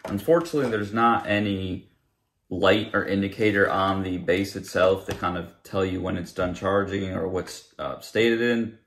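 Low-voiced young man reviewing a product. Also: fairly even intonation, normal speed, fairly bright tone, slight background noise. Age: young adult. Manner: explanatory, plain-spoken. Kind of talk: casual unscripted product review. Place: living room, indoors.

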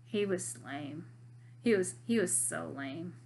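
A woman's voice: on the low side, animated, slow, gravelly, darkish tone, a little hiss or hum, heavily acted. A woman reading aloud, voicing a worried, skeptical schoolboy who wants facts, in an earnest way.

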